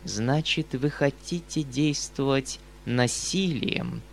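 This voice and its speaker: Audiobook role: haughty sanctimonious man, theatrical and preachy, drawn-out delivery, higher-pitched. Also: expressive, sharp tone, slight background noise, full character voice. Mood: pompous, preachy. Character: pompous sanctimonious man, shrieking self-righteous hanger-on. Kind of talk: dramatic audiobook character acting.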